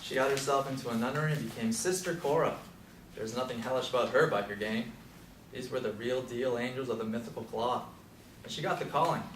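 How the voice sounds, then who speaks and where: Wry old male Beat hipster man acting, mid-pitched, opinionated, declaiming on stage in an echoey room. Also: brisk, lightly acted. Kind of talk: stage play scene reading. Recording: echoey room from far off, faint noise.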